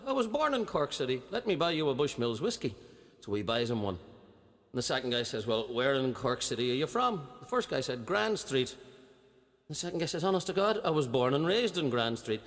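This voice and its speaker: Man, stand-up storyteller, does the characters' voices, mid pitch, long hall echo. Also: very animated, fairly quick. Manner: acts out characters in a lilting storyteller's voice. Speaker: comedian telling jokes. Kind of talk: stand-up joke telling. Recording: played-back stand-up set with hall reverb.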